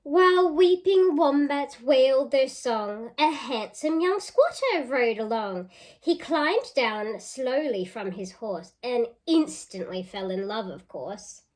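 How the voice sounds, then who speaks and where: A woman reads a storybook as a teacher would for young children. Her voice is fairly high and she takes her time, reading expressively and stopping to ask the listeners questions. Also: animated, slow. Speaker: teacher reading a picture book aloud. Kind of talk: children's read-aloud with questions.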